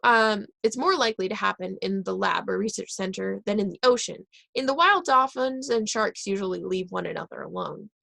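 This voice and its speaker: A woman reading a children's book aloud, pausing and correcting herself now and then. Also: mid-range voice, gravelly, bright, forceful tone, dry recording. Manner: reads aloud with pauses and self-corrections. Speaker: reader.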